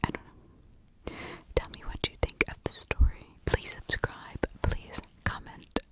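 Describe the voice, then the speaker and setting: A woman hosting an ASMR video, talking informally and personally in a hushed, confiding way. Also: unhurried.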